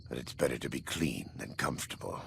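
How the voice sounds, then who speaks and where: A man playing a grave, devout, burn-scarred former war leader in a video game, speaking in a solemn, measured, preacherly way with a deep gravelly voice. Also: expressive, very noisy, exaggerated voice. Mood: pained, restrained. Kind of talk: video game dialogue acting.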